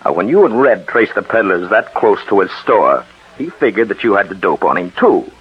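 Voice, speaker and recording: An actor playing a shrewd, steady detective in a 1940s radio drama, assured and insistent as he explains. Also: on the low side, normal speed, exaggerated voice. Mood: assured, explanatory. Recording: muffled, husky-sounding 1940s radio recording.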